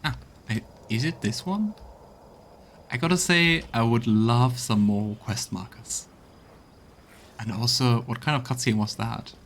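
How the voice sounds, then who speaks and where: A man's voice: mid-range voice, measured pace, fairly bright tone, slight background noise. A man streaming a game with casual live commentary, muttering wry asides to himself as he plays.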